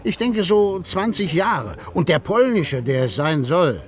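An actor playing a wry, folksy old gravedigger in a German radio drama. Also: male voice, fairly high pitch, very animated, unhurried. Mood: dry, amused. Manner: drawling, folksy, matter-of-fact.

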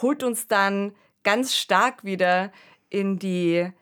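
Female podcast host, medium-pitched, conversational and reflective. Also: slow pace, fairly bright tone, clear, resonant tone. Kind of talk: conversational discussion of a radio play.

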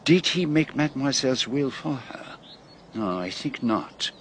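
An actor playing a shrewd, self-assured Belgian detective in a radio drama, with a French-speaking Belgian accent and a deliberate, theatrical manner. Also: male voice, medium pitch, expressive, a little hiss or hum, natural voice. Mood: musing, teasing. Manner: deliberate, theatrical, peppers speech with French phrases.

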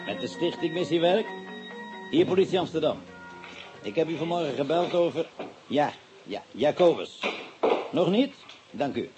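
A male actor plays a dutiful, literal-minded desk sergeant in a radio play. His fairly high voice is polite, deferential and matter-of-fact. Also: expressive, moderate pace, long pauses, over loud music, close to an everyday voice. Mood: businesslike. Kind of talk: radio drama acting.

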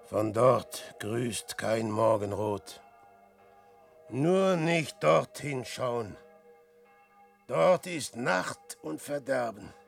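An actor in a classic German radio play, playing an aging, despairing wanderer who speaks slow, declamatory verse. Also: male voice, mid-range voice, expressive, gravelly, soft background music, heavily acted. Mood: lamenting. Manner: slow, declamatory verse, swinging from loud outbursts to hushed murmurs. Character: Peer, an aging despairing wanderer facing death.

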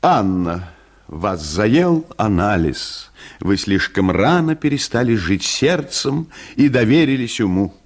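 A man's voice: on the low side, big pitch swings, slow. A man voicing an earnest, pretentious lady playwright who declaims her melodrama in an affected manner. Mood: melodramatic.

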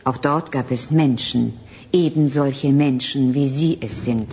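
A woman playing a calm, solemn transhuman emissary in a German radio drama, speaking in deliberate, formal, oddly stilted phrases. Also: a bass voice, slight background noise. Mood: solemn, earnest.

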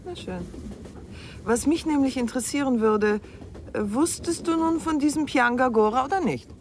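An actress playing a playful, probing middle-aged newlywed wife in a radio drama, with a teasing, inquisitive manner. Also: female voice, sits high, normal speed, a little hiss or hum. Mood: sceptical, probing.

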